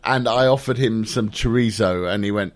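A man's voice: on the low side, moderate pace. Wry, anecdotal man telling stories. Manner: anecdotal, wry, hesitant restarts. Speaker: guest. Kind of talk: casual podcast chat.

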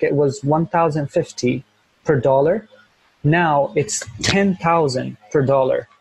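A man's voice: fairly high pitch, slightly rough, no echo, rough recording. A young man from Syria with a Syrian Arabic accent, speaking English as a second language, talking earnestly and repeating phrases as he searches for words. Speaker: interview guest, volunteer teacher. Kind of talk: earnest conversational interview.

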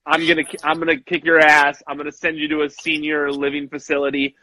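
Bright-voiced man chatting wrestling. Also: on the high side, a little fast, poor audio. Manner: animated, acts out quotes in voices. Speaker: podcast co-host. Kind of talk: casual wrestling chat.

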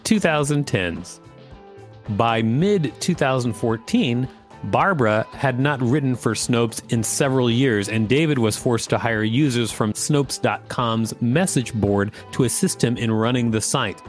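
A man's voice: mid-range voice, a little slow, soft background music. A man co-hosting a podcast, reading an article aloud and adding casual commentary. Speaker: podcast co-host.

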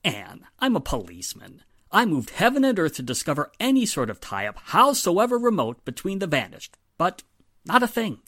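A weary, sardonic police inspector, played by an actor in an old-time radio drama style. He is emphatic and dryly exasperated, with a very animated, swooping delivery. The sound is dry, without room echo. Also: mid-range voice, moderate pace. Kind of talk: 1940s radio drama acting.

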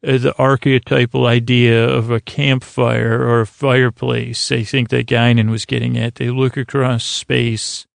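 A man hosting a podcast, with a creaky, slightly smoky voice, rambling through a soothing bedtime monologue in a meandering, halting, self-deprecating way. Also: medium pitch, level tone, no room sound. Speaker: podcast host.

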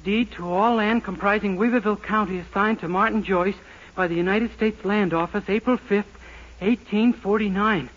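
An actor playing a naive, eager young cab driver in a 1940s radio situation comedy, earnest and rambling. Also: male voice, sits high, fairly even intonation, moderate pace, a bit bright. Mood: puzzled, excited. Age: young adult. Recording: dull scratchy 1940s radio recording.

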